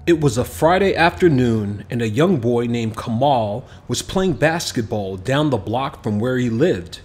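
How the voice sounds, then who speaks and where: A man reading a children's picture book aloud as an expressive scripted narration, with music behind him. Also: mid-range voice, measured pace. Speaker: storybook narrator. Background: background music.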